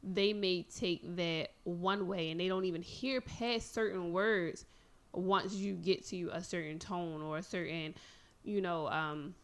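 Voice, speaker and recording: Measured woman in casual talk. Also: fairly low pitch, steady tone. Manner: measured, thoughtful, questioning. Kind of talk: casual conversation.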